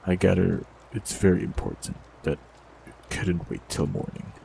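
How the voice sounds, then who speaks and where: A man with a very deep, gravelly voice speaks calmly and gently into a close mic, with a soft teasing edge. He performs a scripted fantasy audio roleplay. A faint hiss sits under the recording. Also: moderate pace, rough recording. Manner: calm, gentle, softly teasing.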